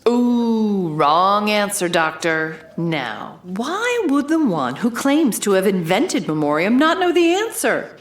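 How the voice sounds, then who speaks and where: A woman's voice: mid-range voice, sing-song, takes it slowly, few pauses. A woman playing a bold, wry investigative reporter who narrates her case in a dramatic, noir-style audio drama. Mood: triumphant accusing.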